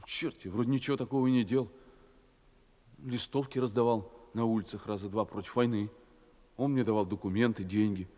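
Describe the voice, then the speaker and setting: A man's voice: lowish voice, fairly even intonation, a little fast, mellow, lightly acted. An actor playing a frightened, naive male prisoner who rambles and pleads his innocence in colloquial speech, in a dramatic theatrical style. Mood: naive, rambling. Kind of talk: theatrical dramatic acting in Russian. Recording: long reverberant hall echo.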